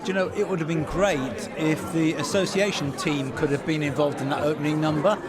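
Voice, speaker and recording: A man's voice: on the high side, fairly even intonation, fairly quick. A man, a podcast host doing upbeat, gushing red carpet interviews at an awards event. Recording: loud crowd behind.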